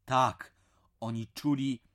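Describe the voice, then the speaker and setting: An actor as the storytelling narrator of a village legend, measured and scripted in a radio drama. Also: male voice, mid-range voice, steady tone, a bit bright. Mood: suspenseful.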